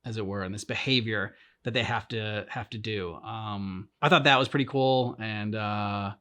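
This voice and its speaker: A man's voice: fairly low pitch, normal speed, pushed and bright. A man hosting a reaction video in casual game commentary, meandering and hesitant as he thinks aloud.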